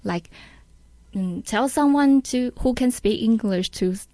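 Slow-paced Taiwanese-accented woman, interview guest. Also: fairly high pitch, mellow, no echo. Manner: halting non-native English. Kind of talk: casual interview chat.

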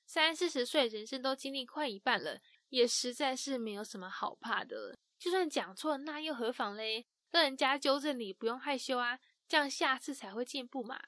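A woman's voice: sits high, brisk, few pauses, fairly bright tone, no echo. A woman narrating and explaining an interview clip in a friendly, encouraging way. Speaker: podcast host explaining the clip. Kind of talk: scripted narration.

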